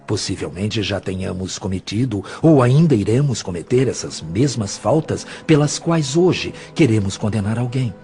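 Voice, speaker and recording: A Brazilian man acting a calm, compassionate spirit mentor who teaches in measured, expressive speech. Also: lowish voice, soft background music. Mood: patient, admonishing. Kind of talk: dramatized audiobook acting.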